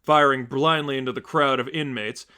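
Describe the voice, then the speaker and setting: Medium-pitched man narrating dramatic fiction. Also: steady tone, normal speed, bright, forceful tone, clear, resonant tone. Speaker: fan fiction reader, narrator. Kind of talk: scripted story narration.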